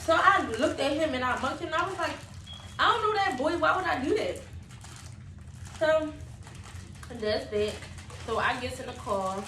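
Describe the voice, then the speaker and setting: Casting: young woman, fairly high voice, casual animated storyteller, roomy noisy recording. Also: normal speed, long pauses. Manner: animated retelling with quoted dialogue. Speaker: vlogger. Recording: roomy, noisy, distant microphone.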